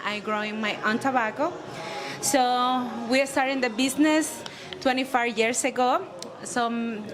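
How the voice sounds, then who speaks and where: Panel guest, woman, jokey, higher voice, crowd chatter around her. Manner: self-deprecating and jokey. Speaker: guest, cigar maker. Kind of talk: casual panel speech. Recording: crowd noise in the room.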